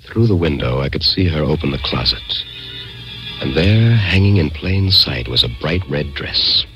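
Radio mystery hero telling his story, deliberate and suspenseful, deep voice, swings around a lot. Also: animated, muffled recording. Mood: suspenseful, absorbed. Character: curious, insistent man narrating his eerie mystery. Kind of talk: dramatic first-person radio mystery narration. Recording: radio drama with music behind.

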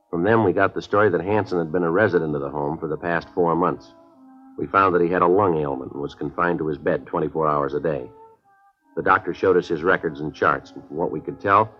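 An actor playing a terse, businesslike police detective with an American accent, narrating his case in clipped, matter-of-fact 1950s radio drama style. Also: very deep voice. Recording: muffled old radio recording, faint music.

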